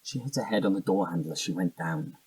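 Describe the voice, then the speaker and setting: An actor with a British accent playing a volatile, defensive suspect under interrogation, husky and faltering, in an audio drama. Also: male voice, deep voice, animated, quick pace, darkish tone, full character voice, low-quality recording. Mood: distraught, confessing.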